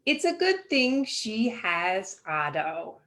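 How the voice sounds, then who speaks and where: A woman reading a children's picture book aloud in an expressive, animated way with dramatic pauses. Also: mid-range voice, a little hiss or hum. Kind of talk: expressive children's storybook narration.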